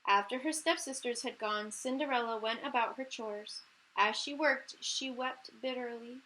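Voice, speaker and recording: A woman reading a children's story aloud as a teacher, in an animated, emphatic way with key words drawn out. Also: a little high, normal speed, a little hiss or hum, rough recording. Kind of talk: expressive children's read-aloud narration.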